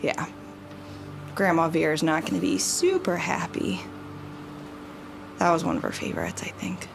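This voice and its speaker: A woman's voice: low-pitched, very animated, measured pace, gravelly. A woman chatting casually and improvising as a player in a tabletop game, with music in the background.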